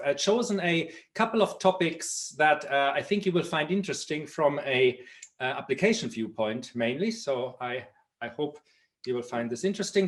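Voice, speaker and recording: A middle-aged German-accented man lectures as a visiting professor, pausing on fillers and restarting his phrases. His voice sits a little high for a man. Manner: thoughtful, with frequent fillers and restarts. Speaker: professor giving a seminar talk. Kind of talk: academic lecture.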